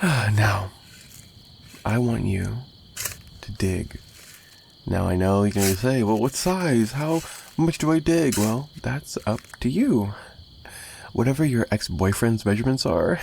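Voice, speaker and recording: A man's voice: on the low side, animated, a little slow, vocal fry, long pauses, a little mellow. A man playing a possessive, menacing mafia boss in an ASMR roleplay, taunting the listener with theatrical mock sweetness and threats. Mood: gleeful, sinister. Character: possessive, menacing young male mafia boss, obsessive kidnapper. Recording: noticeable background noise.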